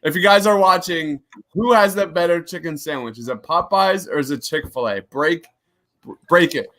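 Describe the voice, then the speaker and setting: A man's voice: on the high side, normal speed, sharp tone. A young man co-hosting a live sports podcast, reading viewer comments aloud and cheering on his team. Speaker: podcast co-host. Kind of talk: live game reaction chat.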